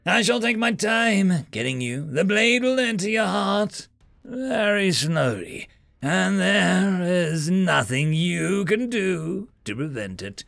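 A man voicing a gloating tentacled male alien commander who shouts his taunts slowly and shrilly. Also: high-pitched, very animated, creaky, pitched above the performer's own voice, full character voice.

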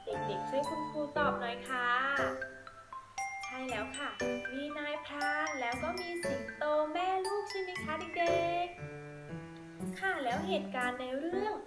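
A woman's voice: high voice, expressive, measured pace. A woman teaching young children in an encouraging, sing-song way, asking them questions. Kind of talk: addressing young children, question-and-answer lesson. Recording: roomy echo, loud music behind.

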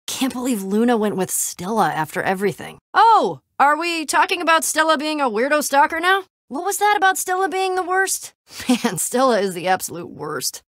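A woman's voice: sits high, sing-song, normal speed, a bit bright, dry recording, studio quality. An actress voicing a scrappy, wry young female adventurer in a video game, talking in a casual, sarcastic, plain-spoken way. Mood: casual, teasing.

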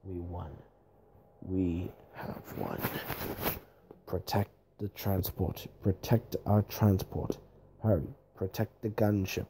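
A man voicing an urgent army commander in halting, repetitive, improvised game-style battle lines. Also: low-pitched, steady tone, background noise. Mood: grave. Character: urgent male army commander barking orders at troops.

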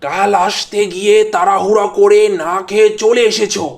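A stern, hot-tempered cartoon father from a village, acted by a man. His voice sits unusually high for a man and is thick with creak. He speaks in a gruff, questioning way. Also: fairly even intonation, normal speed. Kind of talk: cartoon dialogue acting.

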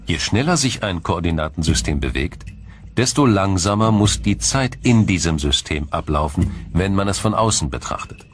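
A man narrating a scripted documentary in a measured, explanatory storytelling manner. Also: deep voice, lively intonation. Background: music.

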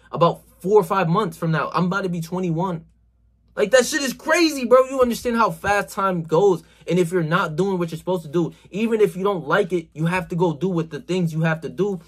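A man's voice: sits high, fairly quick, breathy edge. A man about to turn twenty-one giving a slangy, emphatic motivational talk to camera, swearing a lot and saying "bro," recorded on a phone camera in a small bedroom. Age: 20s. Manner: gestures a lot while talking. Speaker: self-improvement vlogger.

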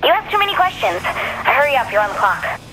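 An actress playing a brisk, blunt, matter-of-fact informant in a video game. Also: female voice, high-pitched, very animated, over music, poor audio.

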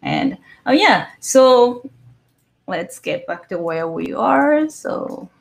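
A woman's voice: a little high, sing-song, takes it slowly, gravelly. A middle-aged woman from Japan, a native Japanese speaker with a Japanese accent, giving a chatty live-stream tech talk full of fillers and self-corrections over a video call from home. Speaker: guest developer speaker. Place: home room, indoors. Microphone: video call webcam.

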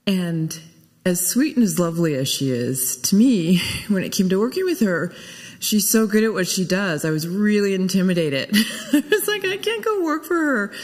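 A middle-aged woman giving an informal, conversational personal tribute at a lectern microphone, telling stories in an animated way. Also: medium pitch, moderate pace, slightly rough. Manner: conversational, animated storytelling, often chuckling. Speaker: eulogy speaker. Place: church sanctuary, indoors. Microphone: gooseneck lectern microphone. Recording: reverberant hall.

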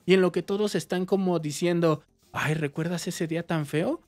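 Mexican guy, 30s, gaming vlogger, lively casual Q&A, soft music underneath. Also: sits high, normal speed. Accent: Mexican Spanish. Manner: chatty, animated, waves arms while talking. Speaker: YouTube gaming channel host. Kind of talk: casual Q&A vlog. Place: small indoor room with game shelves.

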